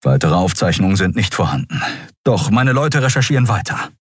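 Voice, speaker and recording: A man voicing a hot-tempered human admiral who barks orders and shouts. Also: sits low, very animated, a little fast, slightly rough, no room sound, clean studio sound, exaggerated voice. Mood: reporting. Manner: forceful, shouting, impatient. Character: furious human male admiral, commanding.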